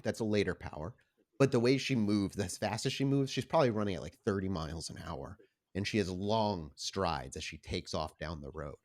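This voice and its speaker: A man's voice: on the low side, darkish tone. A man narrating and refereeing a tabletop roleplaying game as game master, mixing description with casual exclamatory asides.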